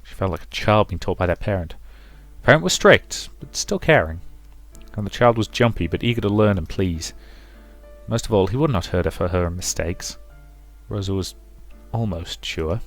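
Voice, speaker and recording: A man's voice: low-pitched, lively intonation, normal speed. A man reading a visual novel's story narration aloud as a let's play narrator. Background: music.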